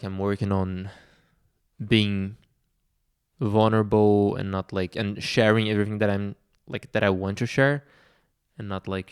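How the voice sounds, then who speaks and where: Soft-spoken man, slow and hesitant. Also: lowish voice, clean tone, no room sound, clean studio sound. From Brazil. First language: Portuguese. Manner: hesitant, many fillers and restarts. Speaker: vlogger. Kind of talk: reflective personal talk.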